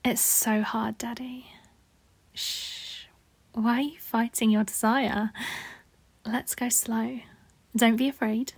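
A seductive, needy woman lover, acted by a woman in intimate adult audio roleplay. Her voice is on the high side, with a creaky edge and frequent breathy whispers. She speaks slowly and coaxingly. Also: little pitch movement, no room sound, exaggerated voice. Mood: sultry, confiding. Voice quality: husky, breathy, often dropping into whispers. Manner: slow, sultry, coaxing.

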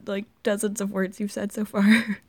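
A woman's voice: mid-range voice, flat delivery, normal speed. A woman with a Canadian accent, chatting casually and laughing easily as she hosts the video. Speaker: host of an accent tag video. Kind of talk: casual chat.

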